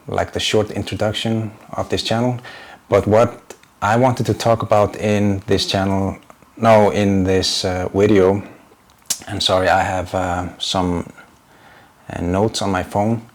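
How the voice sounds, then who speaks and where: A man's voice: deep voice, steady tone, takes it slowly. A man in his forties with an Icelandic accent, speaking English as a second language in a casual vlog, hesitant and often searching for words with many fillers. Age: 40s. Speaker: YouTuber and graphic designer. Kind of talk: casual unscripted vlog talk.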